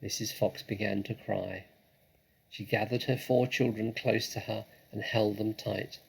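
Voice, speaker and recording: A man reads a children's story aloud in a dramatic, expressive way. His voice is creaky and a little husky, and he draws phrases out for suspense. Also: lowish voice, a little slow, low-quality recording. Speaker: storybook reader.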